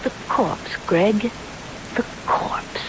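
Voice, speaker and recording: A woman's voice: mid-range voice, very slow. An actress in a 1970s radio drama playing a cold, accusing young woman with a controlled, pointed delivery, on a hissy old broadcast. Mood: ominous, accusing.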